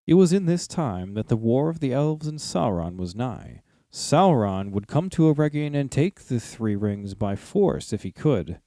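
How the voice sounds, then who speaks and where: Mellow American man, dry recording. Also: medium pitch, big pitch swings, a little slow, few pauses, no echo. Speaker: podcast host and drama narrator. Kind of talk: casual discussion and storytelling narration.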